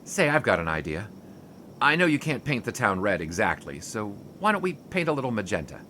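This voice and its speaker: A man plays a wisecracking sports writer from the 1920s, sarcastic and quick with a comeback, dropping French words for effect. His voice is bright and ringing, and it swoops up and down with lively pitch. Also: slight background noise. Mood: charming. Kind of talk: 1920s period audio drama.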